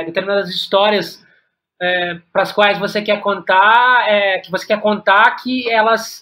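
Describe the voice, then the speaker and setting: Brazilian man, interview guest, reflective and halting delivery with frequent repeated words. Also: sits high, bright, forceful tone. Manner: halting, repeats words while thinking aloud. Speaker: guest playwright and director.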